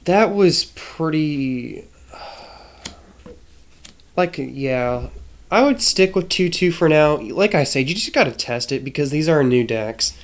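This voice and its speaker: A man's voice: a little high, expressive, takes it slowly, pauses often. A man with a nasal, stuffy voice from a cold, casually explaining a card game deck with many hesitations. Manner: hesitant, frequent ums and restarts. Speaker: trading card game content creator.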